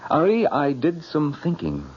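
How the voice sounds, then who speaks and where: An actor playing a troubled, glib bridegroom in an old-time radio drama, halting and defensive, with a sardonic streak. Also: male voice, fairly high pitch, wildly swooping pitch, breathy edge, full character voice. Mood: agitated, cynical. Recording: muffled old radio, faint music.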